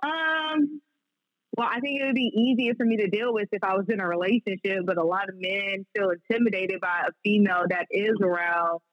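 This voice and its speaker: A woman's voice: mid-range voice, steady tone, a little fast, dry recording, highs cut off. A woman speaking candidly and slangily as a guest sports reporter in a casual podcast conversation.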